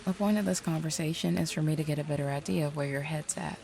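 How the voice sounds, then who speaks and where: A woman's voice: sits low, steady tone, quick pace, vocal fry, warm tone. A woman playing a confident, streetwise supernatural detective in an audio roleplay, drawling folksy slang in a teasing way. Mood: blunt, knowing.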